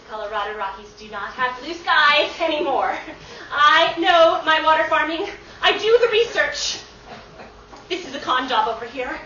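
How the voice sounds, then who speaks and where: A self-righteous, suspicious small trader played by a woman, very high and sharp, full of indignation in broad comic theatre style. The sound is distant and echoey, like a stage heard from the seats. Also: lively intonation, moderate pace. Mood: suspicious, boastful. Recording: distant mic in echoey theatre, dull, faint noise.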